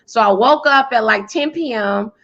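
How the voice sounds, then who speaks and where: An American woman with a fairly high, very bright voice speaks as an interview guest. She is articulate, emphatic and self-assured, with a powerful delivery. The recording is slightly dull and lo-fi. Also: moderate pace. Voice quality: loud, powerful voice. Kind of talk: conversational interview answers, self-introduction.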